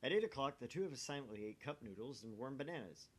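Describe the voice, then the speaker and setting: Animated man with a lively, swinging pitch. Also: fast talker. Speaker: gaming video host reading a visual novel aloud. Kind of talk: animated read-aloud with casual banter.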